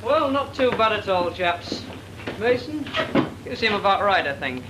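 An upbeat British pilot handing out friendly critiques. His voice is fairly high. It comes through the steady hiss of an old soundtrack. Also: a little slow. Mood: breezy. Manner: breezy, encouraging. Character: breezy male senior pilot. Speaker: senior pilot giving feedback. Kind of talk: 1940s British film acting. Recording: hissy old film soundtrack.

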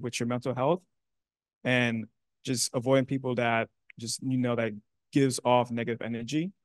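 A young man speaking earnestly and in a measured way as a panelist in a university classroom. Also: mid-range voice, no echo. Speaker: panelist, alumnus. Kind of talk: panel discussion.

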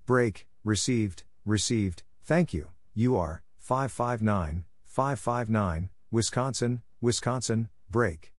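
Guy w/ slightly deep voice reading callouts for code practice, neutral and scripted, super dry sound. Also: takes it slowly, few pauses, dry recording. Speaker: narrator of Morse code practice drills. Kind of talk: scripted read-out of letters, numbers and short phrases.

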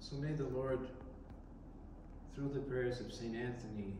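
A man in his thirties, an Orthodox priest, giving a reflective sermon with pauses, recorded from a distance in a large church. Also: medium pitch, fairly even intonation. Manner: slow, reflective, with pauses and restarts. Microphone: distant camera in the congregation. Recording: echoey church from far off, background noise.

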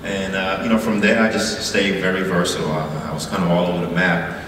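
A man speaking relaxed and halting as a panel guest on a convention stage. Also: deep voice, noisy background. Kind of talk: casual onstage conversation. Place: large convention hall stage. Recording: echoey hall heard from far off.

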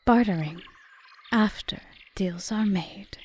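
A woman playing a composed, aggrieved witch in an ASMR roleplay, speaking formally and measuredly in a breathy half-whisper. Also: mid-range voice, takes it slowly, darkish tone. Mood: mocking, bitter. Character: cool, bargaining yandere witch, aggrieved yet composed. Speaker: audio roleplay performer.

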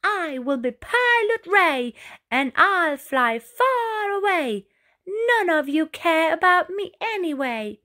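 A woman's voice: very high voice, sing-song, slow, bright, forceful tone, pitched above the performer's own voice, full character voice. A woman voicing a small young male snail, a plucky, dramatic storybook dreamer who speaks with open emotion. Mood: proud, defiant. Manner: exclamatory, emotional. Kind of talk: children's storybook character voice.

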